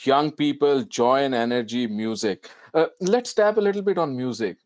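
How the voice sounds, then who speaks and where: Guy hosting a casual interview, kinda halting as he builds his questions, very dry sound. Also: medium pitch, lively intonation, darkish tone, no echo. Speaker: event host and interviewer. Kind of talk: informal fireside chat interviewing.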